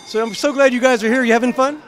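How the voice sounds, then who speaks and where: A man's voice: very high voice, steady tone, fast. A man hosting upbeat on-location interviews at an event in a chatty, effusive manner. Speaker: host, roving interviewer. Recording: music and crowd chatter behind.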